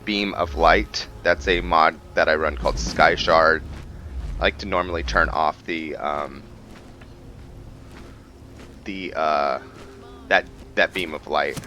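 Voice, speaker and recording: A man gives casual roleplay commentary over a game, thinking aloud as he goes. His voice is low with a bright edge, and he speaks slowly and haltingly. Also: slight background noise. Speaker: gameplay video host.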